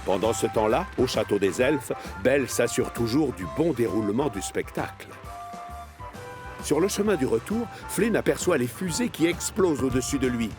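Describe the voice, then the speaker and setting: A man's voice: mid-range voice, expressive, normal speed. Male children's story narrator over music.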